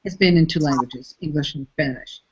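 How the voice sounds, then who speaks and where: A woman's voice: low-pitched, fairly quick, dull audio, slight background noise. A woman from the United States, a facilitator being interviewed, speaking thoughtfully and searching for words as she goes. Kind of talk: reflective interview talk.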